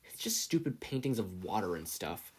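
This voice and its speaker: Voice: low-pitched, sing-song, moderate pace, a little mellow, heavily acted. A reader voicing a scornful, hot-headed teenage boy who leads bullies, scoffing as he talks. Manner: scoffing, gruff.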